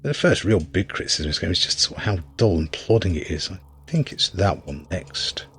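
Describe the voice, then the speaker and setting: A man's voice: low-pitched, sing-song, a little fast, fairly bright tone, a little hiss or hum. A man giving casual gameplay commentary as a reviewer, often hesitant and thinking aloud. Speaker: video game reviewer.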